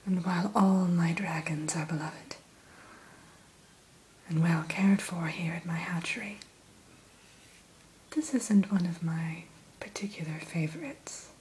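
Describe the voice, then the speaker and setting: A woman whispering softly as a dragon hatchery keeper in an ASMR roleplay, gentle and a little formal, close to the mic. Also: on the low side, a little hiss or hum, rough recording. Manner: soft whisper throughout; gentle, unhurried, mildly formal.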